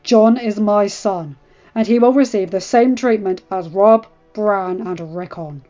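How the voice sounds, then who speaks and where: A stern middle-aged lord, furious and cold, who bites off his words and builds to loud shouts, as a woman reading the story puts him on. The recording sounds dull and lo-fi, with faint music underneath. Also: mid-range voice, fairly even intonation, a little slow, natural voice. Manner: cold, clipped, raising to shouts.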